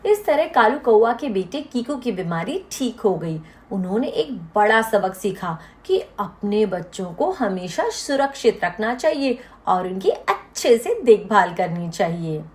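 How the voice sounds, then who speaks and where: A woman's voice: medium pitch, sing-song, measured pace, hardly any pauses, a little mellow. A woman narrator reading a scripted moral story for children.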